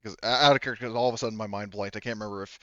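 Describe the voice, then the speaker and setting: A man improvising as game master of a tabletop roleplaying game, narrating haltingly and relaying what characters say. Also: on the low side, steady tone, bright, forceful tone, no echo, lo-fi sound. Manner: halting, speaks for characters in indirect speech.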